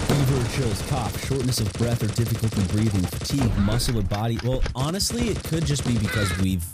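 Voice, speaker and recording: A man's voice: mid-range voice, animated, a little fast, heavy background noise. A man co-hosting a casual podcast, chatty and teasing. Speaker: podcast co-host.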